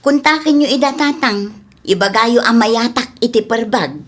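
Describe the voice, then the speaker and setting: A woman's voice: on the high side, moderate pace, lightly acted. A woman acting a practical, bossy grown daughter in a comedy radio drama, with an Ilocano accent. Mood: firm, instructing.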